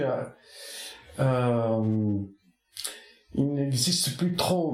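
A man from the Basque Country whose first language is Basque, talking slowly and poetically in an interview. He keeps restarting his phrases as he searches for images. His voice sits at an ordinary pitch and carries a roomy echo around it. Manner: soft-spoken, poetic, halting with many restarts. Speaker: artist interviewed. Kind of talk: reflective reminiscing interview.

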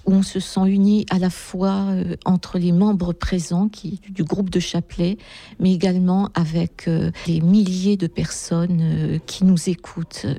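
A woman from a prayer group is answering interview questions. Her voice is low and gravelly and hardly moves up or down. She speaks in a measured, hesitant way, pausing often. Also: flat delivery, warm tone, no echo.

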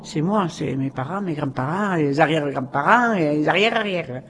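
A man's voice: on the high side, expressive, normal speed, a bit bright. A man, emphatic and exclamatory.

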